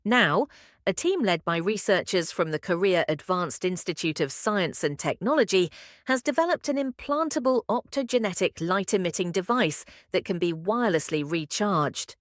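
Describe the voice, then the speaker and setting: A woman reading scripted science passages aloud as a narrator, in a measured, articulate way. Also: lowish voice, animated, dry recording, clean studio sound.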